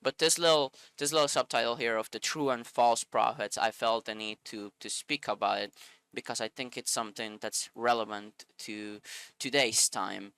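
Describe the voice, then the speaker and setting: Sniffly man with a bright, clear voice. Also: mid-range voice, normal speed, no echo. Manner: earnest, searching for words. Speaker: Bible video host. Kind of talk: scripture reading then casual reflection.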